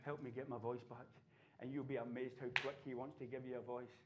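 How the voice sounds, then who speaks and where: A man preaching a sermon, building from quiet earnestness to loud, fervent exhortation. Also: mid-range voice, little pitch movement, brisk, highs cut off, heavy background noise. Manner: impassioned preaching, rising to shouts. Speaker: pastor. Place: church.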